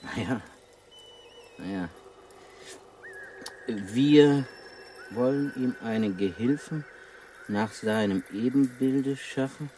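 Ein Schauspieler spielt in einem deutschen Hörspiel einen gelangweilten, verkrüppelten Ex-Raufbold, der zum Leser wurde, schlicht und neckend im Ton. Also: male voice, medium pitch, takes it slowly, long pauses, mellow, lightly acted. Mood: musing, reading aloud. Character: crippled former brawler turned bookish, bored, teasing survivor. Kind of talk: German radio play acting. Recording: radio play with clear background noise.

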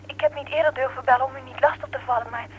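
Timid high-voiced young woman on telephone. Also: steady tone, racing. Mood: timid, relieved. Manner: timid, polite. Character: timid, polite young woman phoning anxiously. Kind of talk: Dutch radio play acting. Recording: faint hum, old radio play telephone call.

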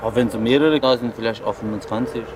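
Clear background noise surrounds a man in casual chat. He speaks quickly at a medium pitch, like a passer-by giving his opinion. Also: fairly even intonation. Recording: very noisy street-style location recording.

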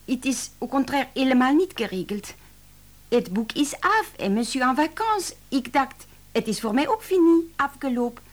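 A woman's voice: high voice, sing-song, moderate pace. A woman acting an effusive young French cook in a Dutch radio play, with a French accent and French phrases sprinkled through her talk. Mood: distraught. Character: excitable young Frenchwoman, devoted office cook and assistant.

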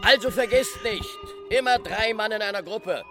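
High-pitched, hearty club chairman. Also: moderate pace, music in the background. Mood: instructing. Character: brisk male hunting club chairman organising a search party. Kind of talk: radio play acting.

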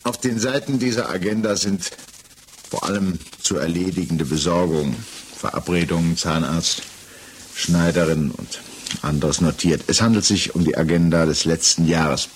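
A stern examining magistrate played by a man with a deep voice, putting questions formally and precisely. He is insistent and methodical, in the manner of 1960s radio drama, on a clearly noisy old mono recording. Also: moderate pace, close to an everyday voice. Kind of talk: 1960s German radio play acting.

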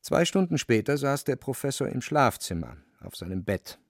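Casting: male narrator for literary radio drama, gravelly mid-range voice, dramatic delivery, dry close sound. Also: mid-range voice, sing-song, moderate pace, no room sound.

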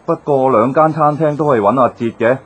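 An actor playing an effusive, satisfied male business client in a Cantonese radio drama, chummy and flattering. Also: mid-range voice, normal speed, highs cut off, a little hiss or hum, close to an everyday voice. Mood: jovial, grateful.

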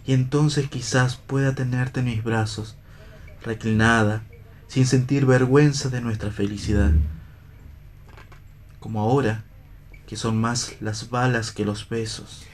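A man's voice: medium pitch, fairly even intonation, a little slow, long pauses, slight background noise, close to an everyday voice. A man acting a philosophical vagabond husband in a play reading for radio, with declamatory, literary phrasing that is tender, bitter and ironic. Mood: tender, melancholy.

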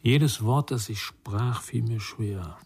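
Patient, melancholy carer, low creaky voice, subdued and gentle, radio play. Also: male voice, slow pace, full character voice. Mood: gentle, wistful. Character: gentle, melancholy man patiently caring for a silent boy. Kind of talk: radio drama acting.

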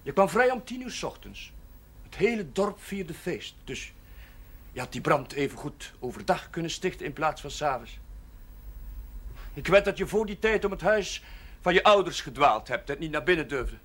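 An actor playing an earnest, patient and compassionate village chaplain in a Dutch television period drama. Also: male voice, on the high side, sing-song, moderate pace, a bit bright. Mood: reproachful, coaxing. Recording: faint background noise, old TV soundtrack.

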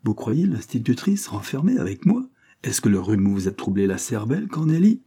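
A man voicing a composed lawyer who speaks in a measured, firm way, in an audiobook reading. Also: medium pitch, big pitch swings, mellow, husky. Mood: incredulous. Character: calm, composed male lawyer brother.

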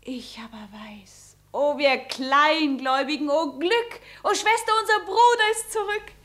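A woman's voice: high-pitched, takes it slowly, a bit bright. A woman playing an anxious, tender and impulsive sister, speaking emotionally exposed rhymed verse in a radio drama. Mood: tender, elated.

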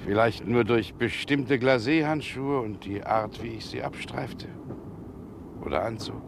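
An actor playing a mocking, self-assured gentleman in a deliberate, musing manner, in the style of a 1960s radio play. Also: medium pitch, creaky. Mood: brooding, musing. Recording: noisy vintage 1960 radio recording.

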